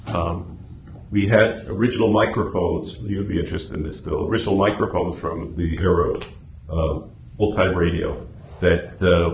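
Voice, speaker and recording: Deep-voiced man giving an informal talk. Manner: rambling, conversational. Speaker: club meeting presenter. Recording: echoey room, faint noise.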